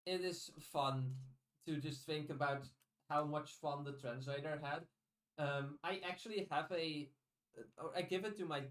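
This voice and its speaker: A man with a Dutch accent chatting casually as a podcast host, often restarting his sentences in a rambling way. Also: fairly high pitch. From Netherlands. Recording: echoey room, distant from the mic.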